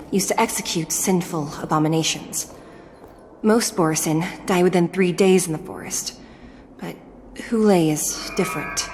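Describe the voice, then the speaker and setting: A woman voices a solemn prison judge for a video game. She is lowish, deliberate and authoritative, with stern formal phrasing. Her words carry hall reverb over soft music. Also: lightly acted.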